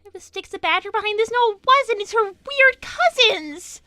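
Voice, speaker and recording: An excitable girl hedgehog from a cartoon, hosting a livestream. Her voice is piercingly high and sharp, recorded dry with music behind. She is talkative, dramatic and easily exasperated. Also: normal speed, dry recording, heavily acted. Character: excitable, impatient young female cartoon hedgehog. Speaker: livestream host. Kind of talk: improvised in-character livestream roleplay.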